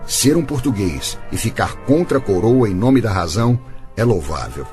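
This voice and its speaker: A man playing a calm, courteous, dignified lawyer in a radio drama, speaking formally and with measure. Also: on the low side, moderate pace, faint music behind. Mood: calm, admiring. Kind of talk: Brazilian radio soap acting.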